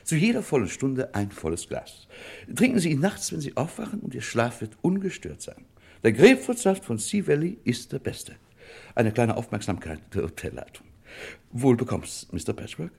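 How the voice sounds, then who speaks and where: An actor playing an officious hotel waiter in a radio crime play, insistently reciting sales patter like slogans. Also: male voice, mid-range voice, wildly swooping pitch, normal speed, creaky, darkish tone, breathy edge, full character voice.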